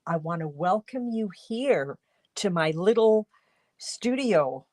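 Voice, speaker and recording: A woman from Canada hosting a casual craft livestream from her home studio, congested with a cold, chatty and rambling, often clearing her throat. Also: mid-range voice, animated, takes it slowly, a little mellow, no room sound. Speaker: livestream host and stamping demonstrator.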